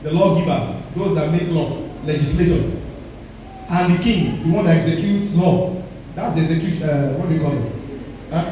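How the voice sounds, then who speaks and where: A man's voice: on the high side, moderate pace, warm tone, heavy reverb, far from the mic, slight background noise, rough recording. A male pastor preaching a sermon with emphatic delivery, calling the congregation to respond.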